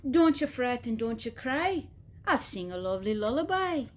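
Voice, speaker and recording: A cheerful lady duck, voiced by a woman in a children's story. She reassures in an emphatic sing-song, high and animated. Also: normal speed. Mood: reassuring, cheerful. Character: cheerful, reassuring female duck, keen to help. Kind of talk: children's storybook read-aloud.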